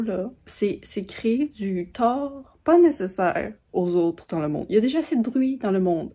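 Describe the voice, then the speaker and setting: A woman's voice: medium pitch, warm tone. A young adult woman with a Quebec French accent, chatting casually as she reviews books for her vlog, self-deprecating and given to small rants. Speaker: book vlogger.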